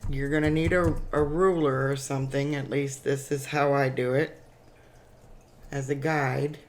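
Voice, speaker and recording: A woman with a raspy, hoarse voice from a cold, hosting a chatty step-by-step crafting tutorial with frequent ums. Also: extremely low pitch, steady tone, a little slow, a little mellow.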